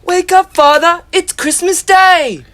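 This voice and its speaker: A woman's voice: very high voice, takes it slowly, fairly bright tone, heavily acted. A teenage girl voicing an eager, bubbly small boy in a school stage play. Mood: excited. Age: teens. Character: excited small sickly boy, joyful.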